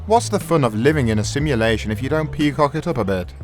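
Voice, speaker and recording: A man plays a cocky, flamboyant tech veteran in an audio drama, teasing like an old colleague. His medium-pitched voice sits over a murmuring crowd. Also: animated, quick pace, faint music behind, natural voice. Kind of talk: audio drama character dialogue.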